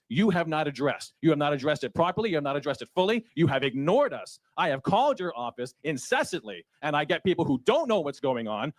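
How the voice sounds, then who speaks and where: A man speaking as a constituent at a town hall, angry, sarcastic and confrontational, into a handheld microphone. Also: on the high side. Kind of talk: public comment speech. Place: public meeting hall.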